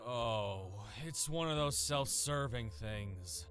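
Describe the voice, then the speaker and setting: Brash, animated sci-fi fugitive. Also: male voice, mid-range voice, slow, faint music behind. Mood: sarcastic. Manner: sarcastic, exasperated, swearing. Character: brash, impatient man on the run, sarcastic and gruff. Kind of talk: visual novel game voice acting.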